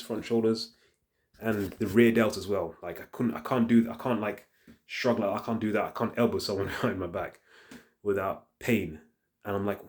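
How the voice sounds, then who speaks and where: A man with a low, relaxed, dark-toned voice speaks off the cuff as a fitness vlogger. He thinks aloud in a halting, rambling way, circling back over phrases as he talks into his phone. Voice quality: deep voice. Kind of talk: casual rambling vlog talk to the phone camera. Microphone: handheld phone.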